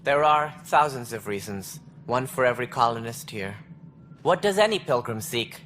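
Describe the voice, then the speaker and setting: An actor playing an officious alien station director in a video game, speaking precisely and formally with a wry, earnest streak. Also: male voice, medium pitch, lively intonation, normal speed, a bit bright, slight background noise, lightly acted. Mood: earnest, stately. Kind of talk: video game dialogue acting.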